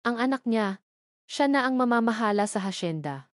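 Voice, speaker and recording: A woman's voice: a little high, expressive, moderate pace, dry recording, natural voice. A woman narrator voices a middle-aged father in a romantic audio drama. He speaks with earnest desperation and shame, recorded close and dry. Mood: ashamed, subdued. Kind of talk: audio drama story reading.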